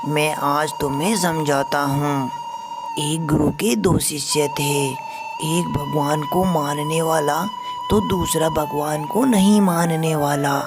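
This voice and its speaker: A woman voicing a wise, solemn eagle guru who preaches patiently in an animated moral story. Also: low-pitched. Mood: solemn, instructive. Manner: measured, preaching. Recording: music behind the voice.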